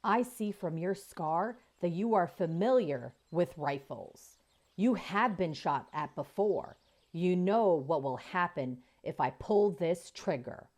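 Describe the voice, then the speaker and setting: Roz, a woman voicing a calm firm robot. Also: on the low side. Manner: measured, deliberate, formal speech.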